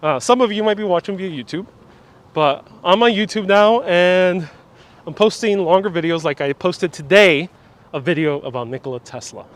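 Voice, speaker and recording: A man's voice: sits high, lively intonation, moderate pace. Chatty man touring outdoors. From Puerto Rico. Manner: chatty, reads viewer comments aloud. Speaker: vlog host. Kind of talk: casual live-stream tour narration. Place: outdoors in a large sculpture park. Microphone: phone on gimbal with wireless mic.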